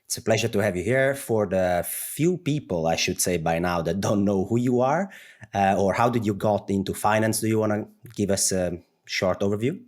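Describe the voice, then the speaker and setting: Low-voiced male podcast host. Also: moderate pace, darkish tone. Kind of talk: casual interview chat.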